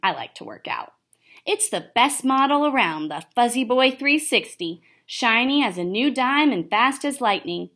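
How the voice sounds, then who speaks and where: A woman's voice: a little high, lively intonation, normal speed, a bit bright, pitched above the performer's own voice. A young woman voicing a cocky, chatty male pet hamster in a storybook, self-satisfied and asking and answering himself. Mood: smug.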